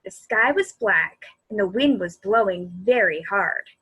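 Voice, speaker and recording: A woman reading a storybook aloud to children in an expressive, animated way, speaking directly to her young listeners. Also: medium pitch, a little slow, slightly rough, poor audio.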